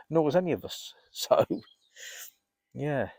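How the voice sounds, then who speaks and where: A man with a cold, rambling casually to camera while fishing outdoors by a lake, often clearing his throat. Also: on the high side, lively intonation, slow, dark tone, no echo. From England. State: congested, blocked up with a cold. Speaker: carp fishing vlogger.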